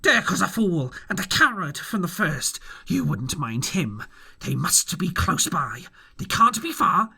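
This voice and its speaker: A man voicing a blind old pirate beggar, shrill shouted orders, loud and high, lively swings in pitch. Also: unhurried, gravelly, slightly rough, full character voice. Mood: furious scolding. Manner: shrill shouting, cursing and commanding.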